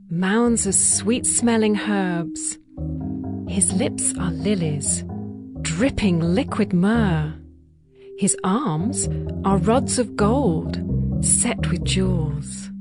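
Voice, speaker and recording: A woman's voice: mid-range voice, natural voice. A woman playing a lovesick young bride who yearns for her beloved, in a tender, poetic scripture drama. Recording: music bed behind the voice.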